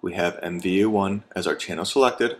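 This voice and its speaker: A man with an American accent and a low-pitched voice narrates an instructional video. He delivers scripted steps methodically, one at a time, as a trainer would. There is faint noise behind his voice. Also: a little fast. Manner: methodical, step-by-step.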